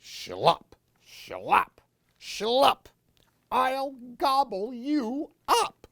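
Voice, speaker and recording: Woman playing a silly storybook monster, loud exaggerated roars, high and wild. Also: wildly swooping pitch, extremely slow, vocal fry, dry recording, full character voice. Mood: growly. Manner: exaggerated roaring and gobbling. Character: pretend monsters, growly and silly.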